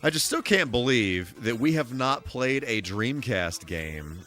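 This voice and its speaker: A man's voice: mid-range voice, very animated, measured pace, fairly bright tone. A man live streaming a video game, chatting casually with viewers and throwing in playful exclamations and sing-song asides. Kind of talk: casual live gaming commentary and chat with viewers. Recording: music behind. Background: music.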